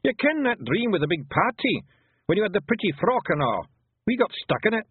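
A man's voice: sits high, big pitch swings, brisk, husky, no room sound, phone-quality sound, voice pushed up, full character voice. A man voicing a tiny, brash, cheerfully bossy pictsie leader with a Scottish accent in a storybook reading. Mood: explaining. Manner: exclamatory, commanding, plain-spoken dialect talk.

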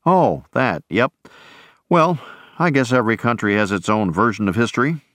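A man's voice: medium pitch, lively intonation, normal speed, a bit bright, no room sound, clean studio sound, lightly acted. A man voicing an easygoing, wisecracking young male soldier in an audiobook. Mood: breezy. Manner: breezy, wisecracking. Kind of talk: audiobook character voice.

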